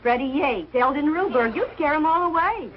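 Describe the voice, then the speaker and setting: A middle-aged woman playing a sensible, protective American housewife and mother in a 1940s television sitcom, brisk, firm and mildly exasperated. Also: sits high, animated, a crowd in the background. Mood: accusing. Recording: dull, hissy old television soundtrack.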